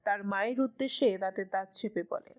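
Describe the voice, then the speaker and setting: A woman's voice: mid-range voice, brisk. A woman reading scripted narration as the storyteller of a dramatic romance story.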